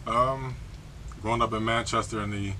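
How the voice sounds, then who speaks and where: A polite, humble man in his forties from western Pennsylvania speaks in an easy, relaxed way. His lowish voice has a bright, forceful edge, and a faint hiss sits behind it. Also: moderate pace. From Pittsburgh, USA. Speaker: interview guest, associate director. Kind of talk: casual interview. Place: small studio.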